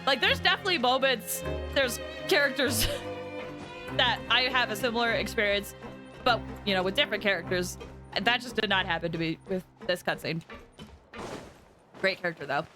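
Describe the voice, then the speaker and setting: A woman chatting casually to viewers as she hosts a game livestream, in an animated, chatty manner, sounding a little tired. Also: mid-range voice, normal speed, long pauses. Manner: animated, chatty, with excited exclamations. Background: background music.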